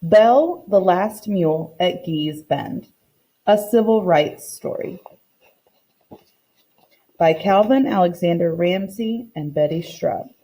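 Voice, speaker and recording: A woman teacher reading a storybook aloud to children in an expressive, deliberate way, pausing to teach. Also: fairly low pitch, rough recording. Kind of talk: read-aloud lesson for children, storybook narration.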